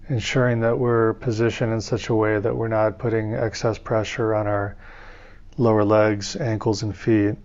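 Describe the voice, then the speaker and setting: A man leading a guided meditation as a teacher, speaking in calm, soothing, measured phrases with pauses between them. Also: on the low side, lo-fi sound.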